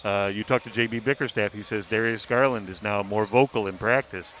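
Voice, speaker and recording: A man's voice: on the low side, steady tone, a little fast, fairly bright tone. A man talking analytically as a beat writer on a sports panel, listing examples to make his points. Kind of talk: pre-game sports panel discussion. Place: sports arena. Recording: faint venue noise.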